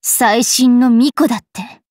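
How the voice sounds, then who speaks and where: A woman voicing a bratty, cruel young fairy witch in an anime game, haughty and taunting with a rough tongue. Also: on the high side, a little slow, darkish tone, no echo, studio quality, close to an everyday voice. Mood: gleeful menace. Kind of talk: Japanese anime game character voice lines.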